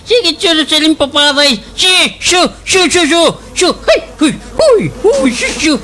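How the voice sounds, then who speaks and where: A man's voice: very high voice, very animated, a little slow, sharp tone, exaggerated voice. An actor with a Filipino Waray accent playing a whiny, suffering village father in a slapstick radio comedy, stuttering and complaining. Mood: panicked, pained.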